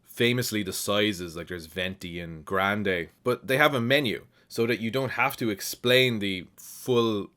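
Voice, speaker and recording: A man's voice: lowish voice, unhurried, hardly any pauses, sharp tone. A man with an Irish accent casually reading and answering viewer comments as a channel host, in a reflective, explanatory way. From Ireland.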